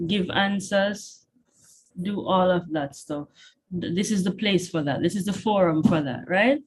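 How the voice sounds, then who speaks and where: A young woman with a Jamaican accent leads a live online chemistry class through her computer mic. Her voice sits a little low, and she talks in a chatty, encouraging way, often stopping to check that her students are with her. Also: a little hiss or hum. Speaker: chemistry tutor. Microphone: computer mic on video call.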